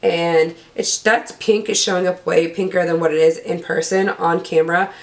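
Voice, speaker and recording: A young woman with a hoarse, cold-roughened voice, pitched a little low. She rambles chattily as a beauty vlogger, trips over product names and talks straight to her viewers. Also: fairly even intonation, normal speed. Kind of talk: casual unboxing chat.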